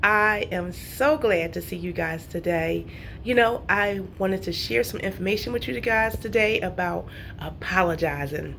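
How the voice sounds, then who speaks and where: A woman's voice: medium pitch, a little slow, vocal fry, fairly bright tone, a little hiss or hum. A woman with a stuffy cold, talking casually and earnestly to her viewers about life lessons, with many ums and restarts. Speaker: vlogger giving life advice. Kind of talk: casual motivational talk to camera.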